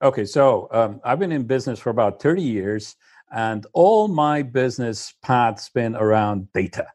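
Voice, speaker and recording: Middle-aged Slovenian-born man as interview guest, non-native English, slightly husky, hesitant delivery. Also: medium pitch, expressive, a little mellow, no room sound, studio quality. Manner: halting, many "uh" fillers. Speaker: business data consultant, guest. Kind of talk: interview answers.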